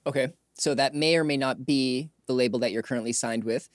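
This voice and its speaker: A man interviewing conversationally as a podcast host, with a measured, reflective way of talking. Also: mid-range voice, dry recording, clean studio sound.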